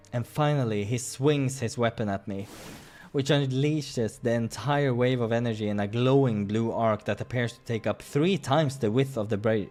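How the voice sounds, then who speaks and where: A man reading a visual novel aloud as a playthrough host, expressive in the storytelling with casual asides. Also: fairly low pitch.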